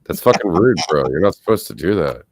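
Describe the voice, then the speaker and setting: A man's voice: sits low, sing-song, fast, a little hiss or hum. A man in his thirties co-hosting a podcast with teasing, sweary casual banter. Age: 30s. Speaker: podcast co-host.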